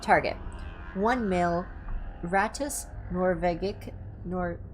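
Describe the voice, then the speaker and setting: A woman's voice: lowish voice, takes it slowly, low-quality recording. A woman hosting a livestream, reading clinical horror reports aloud with informal asides and the odd stumble over hard words. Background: music.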